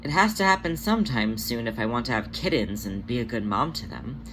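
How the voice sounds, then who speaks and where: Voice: mid-range voice, expressive, a little fast, a bit bright, slight background noise, natural voice, rough recording. A reader voicing an anxious, tired young female cat in an audio story, speaking in a hesitant, earnest way.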